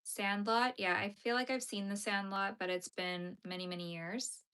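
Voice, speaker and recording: Bright-voiced Canadian woman chatting while crocheting. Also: medium pitch, flat delivery, moderate pace, clear, resonant tone. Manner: reads and answers chat comments while crocheting. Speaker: crochet livestreamer. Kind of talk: casual livestream chat.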